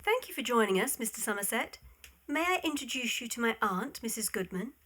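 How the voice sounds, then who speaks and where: A woman plays a confident young heiress of a period drama, fairly high and bright, with lively pitch. She is gracious, composed and forthright. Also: brisk. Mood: gracious hostess. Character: self-assured young millionaire lady of the castle.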